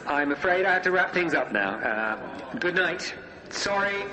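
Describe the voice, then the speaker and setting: An actor in a radio drama playing a flustered, deferential male host, speaking formally, haltingly and apologetically. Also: high voice, very animated, sharp tone, exaggerated voice, low-quality recording. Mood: flustered, apologetic. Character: flustered, deferential male event host placating high-ranking guests. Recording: crowd murmuring behind the voice.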